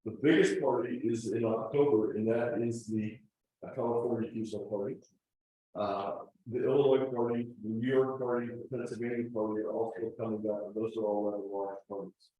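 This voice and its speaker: A man's voice: on the low side, fairly even intonation, brisk, warm tone, slight background noise. A man giving a slide presentation at a club meeting, explaining step by step with frequent fillers. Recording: distant, roomy meeting-room recording.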